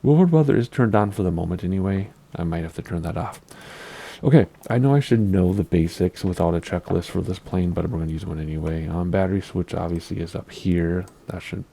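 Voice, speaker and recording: Gravelly low-voiced man, allergy-scratchy. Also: fairly quick, mellow. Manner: rambling, easily distracted, self-deprecating, laughs at himself. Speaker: flight sim gameplay host. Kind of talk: casual gameplay commentary.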